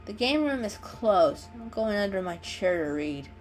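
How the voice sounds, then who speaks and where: A woman's voice: mid-range voice, expressive, measured pace, soft background music, natural voice. A woman voicing a restless, grumbling male kitty in a storybook reading, with an impatient manner.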